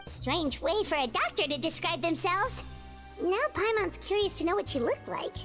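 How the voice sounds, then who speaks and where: A woman voicing a tiny, excitable, childlike fairy sidekick in a video game, shrill and exclaiming. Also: moderate pace, soft background music. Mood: curious, amused. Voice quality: shrill, squeaky. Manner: speaks of herself in third person, exclaiming. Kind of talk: scripted game voice acting.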